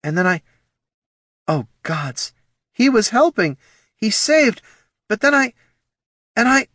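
Male narrator as female elf ranger, high-pitched, swooping, anguished, fiery, fantasy audiobook. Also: big pitch swings, sharp tone, no echo, clean studio sound, exaggerated voice. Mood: despairing. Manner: fraught, emotional, halting. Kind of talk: fantasy audiobook character dialogue.

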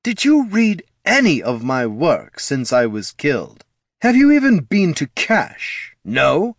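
A haughty undead wizard, performed by a male narrator, explains himself in pedantic, self-justifying phrases. His delivery swings widely in pitch, as an aggrieved fantasy storybook villain, in a dry recording. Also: sing-song, measured pace, fairly bright tone, dry recording. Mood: offended, scolding.

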